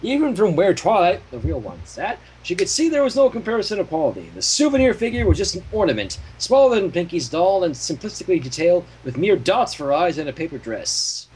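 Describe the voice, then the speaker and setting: A man's voice: high voice, very animated, fairly quick, hardly any pauses, darkish tone, husky, rough recording. A man reading fan fiction as narrator, with casual asides. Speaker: story reader.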